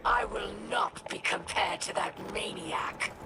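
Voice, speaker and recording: A woman voicing a proud, scornful alien rogue in a video game, breathy and rasping, with archly formal speech. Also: mid-range voice, wildly swooping pitch, heavy background noise, full character voice. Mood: disdainful. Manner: haughty, deliberate, archly formal. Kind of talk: video game character acting. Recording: voice under loud game music.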